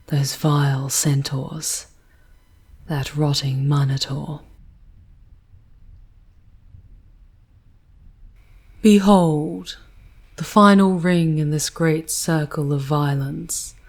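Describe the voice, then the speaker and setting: A woman voices a demoness in an intimate ASMR drama, with a low, husky voice. She speaks very slowly and theatrically, often sinking into breathy whispers, by turns contemptuous of mortals and tender. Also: darkish tone, heavily acted. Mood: weary relief, grandeur. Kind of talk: ASMR roleplay, dramatic monologue.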